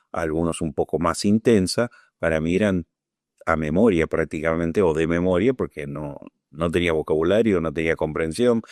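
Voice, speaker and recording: Older Argentine man, very low voice, dry close sound, reflective pace for interview testimony. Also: no room sound. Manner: measured, reflective, searching for words. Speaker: Falklands War veteran interviewee.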